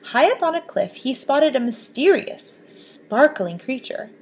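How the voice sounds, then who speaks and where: A woman reading a children's picture book aloud with expressive, animated storytelling. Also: sits high, measured pace, slightly rough. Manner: expressive, lively storybook voices.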